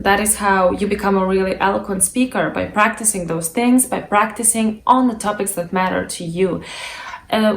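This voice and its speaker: A young adult woman, a native Bulgarian speaker, giving an articulate instructional talk to camera as a language coach. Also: medium pitch. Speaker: language coach and video presenter. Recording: echoey room with faint background noise.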